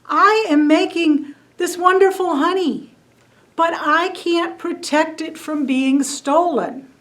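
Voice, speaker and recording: A woman's voice: very high voice, slow, a bit bright, pitched above the performer's own voice, full character voice. A woman voicing a tiny, chubby, fuzzy female honeybee who pleads eagerly in long breathless run-on sentences. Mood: pleading.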